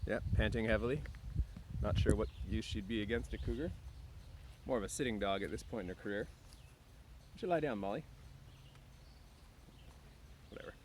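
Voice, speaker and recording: A man's voice: lowish voice, moderate pace, long pauses. A man with an easygoing teacher's delivery, talking to students and reading a book aloud outdoors. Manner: frequent throat clearing. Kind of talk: casual chat to students and book read-aloud. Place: outdoors near woods. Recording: clear outdoor background noise.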